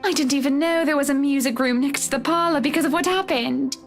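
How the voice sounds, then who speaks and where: Self-assured wealthy wife, high-pitched, breezy, teasing, visual novel drama, faint background music. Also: female voice, fast talker. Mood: fond teasing. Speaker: game character.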